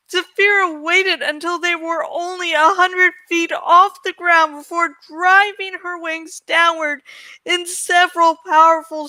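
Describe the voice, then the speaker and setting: High, bright woman reading a novel aloud. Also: no echo, poor audio. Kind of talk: dramatic audiobook-style reading with casual asides. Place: home study, close dry recording.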